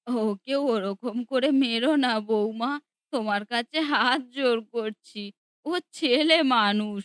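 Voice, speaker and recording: A woman voicing a gentle, loving old grandmother in a cartoon, speaking softly and pleading. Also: fairly high pitch, smooth, no echo. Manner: soft, pleading.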